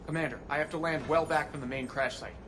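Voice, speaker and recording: A man playing a calm, dutiful military shuttle pilot in a video game, reporting respectfully and briskly. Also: on the high side, natural voice. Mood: alert, dutiful. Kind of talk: video game cutscene acting. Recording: clear background noise.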